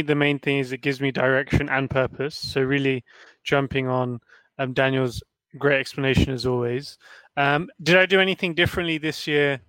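A man in his thirties in a casual group discussion, laying out his thoughts in a measured, orderly way with frequent "um"s. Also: a little high, a bit bright, clean tone, no echo, poor audio. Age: 30s. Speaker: panel guest.

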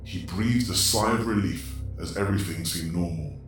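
An actor playing a grave storyteller, delivering scripted dramatic narration for a horror audio drama. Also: male voice, deep voice, normal speed, lightly acted. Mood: grave, suspenseful. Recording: very echoey, distant, music behind.

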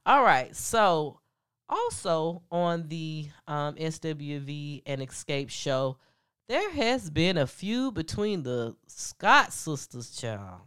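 Female gossip host: deep, congested voice, very smooth and resonant, chatty and frank. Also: very animated, slow pace, a bit bright. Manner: chatty and frank, many ums and asides to listeners. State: stuffed-up nose. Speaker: podcast host. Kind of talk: casual celebrity gossip commentary.

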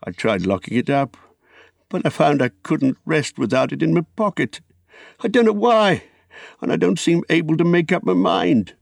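A man's voice: fairly high pitch, lively intonation, moderate pace, vocal fry, no echo, clean studio sound, pitched above the performer's own voice. A man voicing a fussy, wistful, elderly hobbit in a storybook, with polite, rambling, exclamatory speech. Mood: anxious, wistful. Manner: polite, rambling, exclamatory old-fashioned English.

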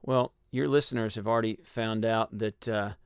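Man, Texas accent, Bible teacher, measured explaining, fillers, gravelly, dry studio sound. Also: on the low side, steady tone, dry recording. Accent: Texan. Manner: measured, explanatory, with frequent ums and uhs. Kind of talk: radio Bible discussion.

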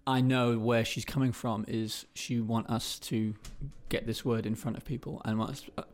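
A man's voice: mid-range voice, little pitch movement, normal speed. A man on a church panel talking hesitantly, often repeating words as he restarts.

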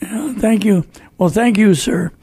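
A man's voice: sits high, a little slow, dark tone, slightly rough, dry recording. An older American man hosting a radio program, talking casually and folksily, repeating phrases for emphasis. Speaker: radio host. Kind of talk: casual radio talk with co-host.

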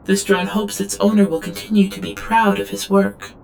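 A detached, creaky female android. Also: medium pitch, level tone, moderate pace, darkish tone, soft background music. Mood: earnest, devoted. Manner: clipped, literal, detached recitation. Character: obedient female android drone, flatly devoted to owner. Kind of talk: audio drama log narration.

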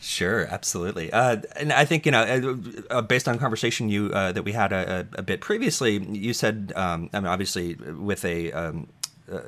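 A man's voice: sits low, a bit bright. A man interviewing an archive director, speaking with many fillers and restarts. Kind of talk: interview conversation.

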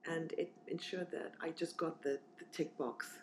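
A woman's voice: sits low, steady tone, moderate pace, a little hiss or hum. A woman in her forties from southern Africa, talking casually and reflectively as an interview guest, with plenty of fillers and restarts. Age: 40s.

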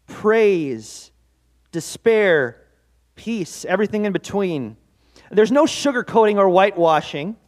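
A man preaching a devotional sermon as pastor, earnest and conversational, with self-deprecating asides. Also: on the high side. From Norwegian-American heritage.

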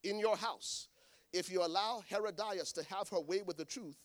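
A man preaching a sermon to a congregation, swinging between hushed lines and shouted ones. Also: high-pitched, normal speed. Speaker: pastor. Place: church in front of a congregation.

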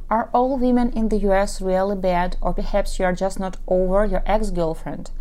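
A woman's voice: medium pitch, mellow. A woman from Ukraine whose first language is Russian, speaking English with an accent, who works as a matchmaker and dating coach and gives advice in a podcast monologue. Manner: advice-giving, lists examples at length.

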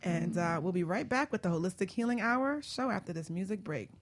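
A woman co-hosting a radio talk show, chatting casually in a playful, excitable, giggly manner. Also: on the low side, fairly quick, noisy background.

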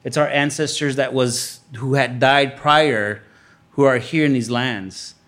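A man's voice: mid-range voice, steady tone, unhurried, fairly bright tone. A man from Los Angeles speaking unscripted as a panelist in an earnest, emphatic way. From Los Angeles, United States.

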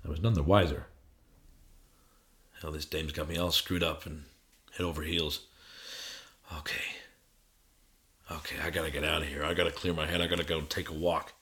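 An actor playing a confused, weary amnesiac in a film noir audio play, halting and repetitive, with hard-boiled phrasing. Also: male voice, extremely low pitch, little pitch movement, a bit bright. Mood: weary, brooding.

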